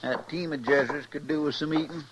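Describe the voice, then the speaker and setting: A simple, chatty frontier sidekick acted by a man with a rural Western American drawl. His voice sits a little high, on a noisy old radio western recording. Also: fairly even intonation, brisk, natural voice. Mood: gossipy, wry. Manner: drawling, folksy.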